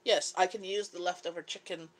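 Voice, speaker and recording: A woman's voice: on the low side, steady tone, fairly quick. Chatty Canadian woman, faint background noise. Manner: chatty, giggly. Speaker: live stream host, mother. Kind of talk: casual live chat with viewers while cooking.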